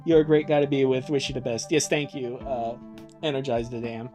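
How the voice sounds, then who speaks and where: A man's voice: mid-range voice, fairly even intonation, a little fast, darkish tone, faint music behind. A man streaming casually, giggly and joking, often breaking into laughter and mild swearing while he reads and comments. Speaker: streamer reading a fan comic aloud. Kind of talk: casual live stream chat and joking live reading.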